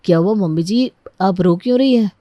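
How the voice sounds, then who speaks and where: A woman's voice: mid-range voice, a little fast, warm tone, no room sound, dull audio, natural voice. A woman voicing an eager, impatient young bridegroom in a family story, coaxing and insistent.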